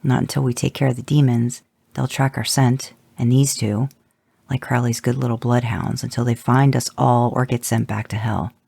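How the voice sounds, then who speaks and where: A take-charge hunter speaking firmly and urgently, as a male reader voices him. The voice is husky and gravelly, recorded dry and close. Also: medium pitch, flat delivery, moderate pace, a little mellow, no room sound.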